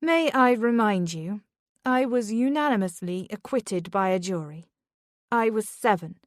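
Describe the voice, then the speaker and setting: A woman's voice: mid-range voice, animated, measured pace, darkish tone, no room sound, clean studio sound, natural voice. A woman reading the part of a proud, defiant mother, firm and insistent in an audiobook.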